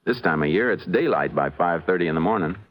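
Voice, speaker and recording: An actor playing a calm, methodical lawman with a measured, probing manner in a vintage radio drama. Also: sits low, dull audio. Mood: calm reasoning. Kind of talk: 1950s radio acting. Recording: hissy vintage radio recording.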